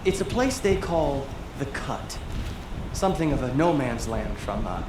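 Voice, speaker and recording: A man's voice: mid-range voice, big pitch swings, measured pace, roomy sound, noisy background. A young man playing a petulant, sheltered teenage noble in a video game, theatrical and haughty. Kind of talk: video game dialogue acting.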